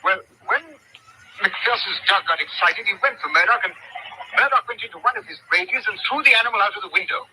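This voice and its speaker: Anxious schoolmaster, high, bright, tense voice. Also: male voice, animated, exaggerated voice, low-quality recording. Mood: hesitant, confiding. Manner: halting, earnest. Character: anxious, earnest schoolmaster, an upset gentleman. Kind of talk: old-time radio drama acting. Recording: old radio broadcast with faint noise.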